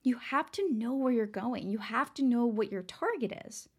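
A young woman from Texas hosts her motivational podcast with a pitch a little above the usual for a woman. Her voice is raspy and worn today. She keeps it chatty and upbeat, calling her listeners girl and friend. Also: lively intonation, moderate pace. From San Antonio, Texas. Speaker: podcast host. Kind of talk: casual motivational podcast talk.

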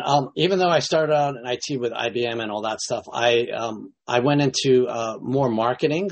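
Man, late fifties, CEO guest; lowish, husky voice, loose and hesitant, full of restarts. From United States. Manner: relaxed, hesitant, many restarts and fillers. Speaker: company CEO, interview guest. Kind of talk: casual interview answers.

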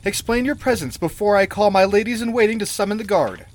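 A man's voice: very high-pitched, fairly even intonation, fairly quick, voice pushed up. A man voicing an outraged and frightened young queen with urgent, indignant questioning in a dramatic fantasy story. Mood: alarmed.

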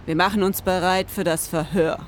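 A woman playing a pompous, gruff, world-weary noir detective, narrating a crime radio play in a dramatic, deliberate manner. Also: on the low side, steady tone, slight background noise, lightly acted. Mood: brooding.

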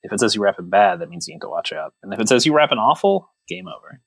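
A man's voice: on the low side, very animated, fast talker, vocal fry, a bit bright, breathy edge, no room sound. A man co-hosting a podcast, chatting casually about films in a wry, mock-serious way. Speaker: podcast host. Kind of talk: casual joking film chat.

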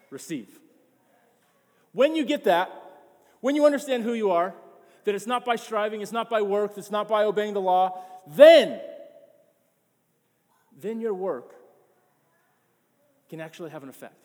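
Impassioned pastor with hall echo. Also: male voice, high-pitched, lively intonation, a little slow, long pauses, darkish tone. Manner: passionate, emphatic, repeats words as he builds. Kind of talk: sermon.

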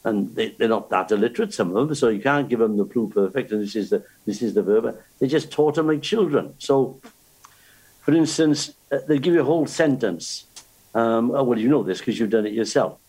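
A man's voice: mid-range voice, animated, a little fast, darkish tone, breathy edge, low-quality recording. An older man, chatting casually and telling stories about learning the language. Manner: storytelling, with restarts. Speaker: guest. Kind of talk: casual chat.

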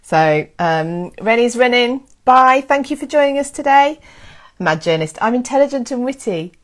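A woman hosting a casual history live stream, chatty and excitable, thanking viewers by name. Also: a little high, moderate pace. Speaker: history livestream host.

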